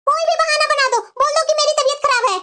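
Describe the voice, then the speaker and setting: An extremely high-pitched, whiny young married daughter, acted by a woman in a family story. She is impatient and scheming. She pushes her demands insistently. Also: fairly even intonation, fast, pushed and bright. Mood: pleading, insistent. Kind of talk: scripted family story dialogue.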